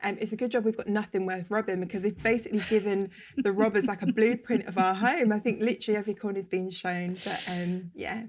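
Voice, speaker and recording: A woman, telling her story as a podcast guest, open and quick to laugh, speaking over a video call. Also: mid-range voice, steady tone, darkish tone. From England. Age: 30s. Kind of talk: casual interview chat. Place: home room, indoors.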